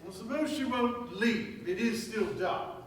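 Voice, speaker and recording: A man playing a wry, grudgingly obliging gentleman in a staged radio play, dry and deliberate, recorded in an echoey hall from far off. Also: extremely high pitch, a little hiss or hum.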